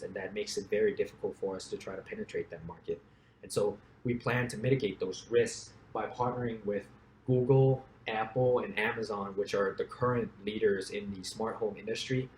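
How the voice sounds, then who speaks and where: Young guy from thailand doing a startup pitch, lowish voice, roomy echo. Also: darkish tone. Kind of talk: business pitch presentation. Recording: roomy echo, faint background noise.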